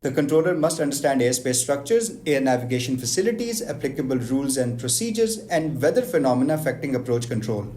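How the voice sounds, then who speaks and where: Brisk man reading aviation rules. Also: medium pitch, a little hiss or hum. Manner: brisk, even, list-like reading of technical terms. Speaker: aviation explainer host. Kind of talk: scripted educational narration, just-a-minute format.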